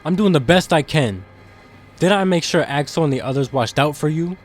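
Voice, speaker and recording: A man's voice: on the high side, moderate pace, soft background music, natural voice. A man playing a guarded, conscience-stricken demon in an audio drama, earnest and defensive in manner. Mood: defensive, anguished. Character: guarded, conscience-stricken demon insider smuggling secrets to captive.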